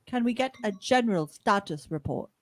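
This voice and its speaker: A woman playing a measured starship captain taking charge in an amateur radio play. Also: mid-range voice, expressive, slight background noise, lightly acted. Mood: deliberating.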